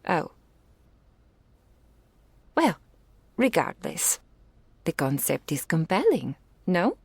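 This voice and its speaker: A woman's voice: fairly low pitch, sing-song, no echo, full character voice. A woman playing a wealthy, doting, possessive female dragon in an intimate ASMR roleplay, speaking in grand, refined phrases that slip into whispers. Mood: coaxing. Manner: grandiloquent, slow, affectionate, with breathy whispered phrases.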